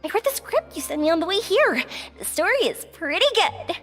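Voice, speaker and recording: Game role: gracious young noblewoman, high lilting voice, refined and curious, music behind. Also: a little fast, in a large hall, exaggerated voice. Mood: thoughtful, pleased. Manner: polite, refined, gently expressive. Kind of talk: video game voice acting.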